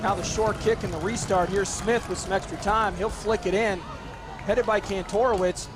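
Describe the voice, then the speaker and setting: A man calling a live soccer match play by play, hoarse and losing his voice, his voice jumping up on big chances. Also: high-pitched, moderate pace. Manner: excitable, voice jumps up on big chances. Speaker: play-by-play commentator. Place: outdoor soccer field. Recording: loud crowd and outdoor noise. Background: cheering crowd.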